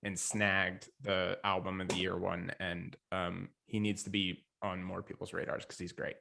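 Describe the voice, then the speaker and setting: A man's voice: deep voice, level tone, normal speed, creaky, background noise. A young American man co-hosting a podcast in casual sports chat. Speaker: podcast co-host.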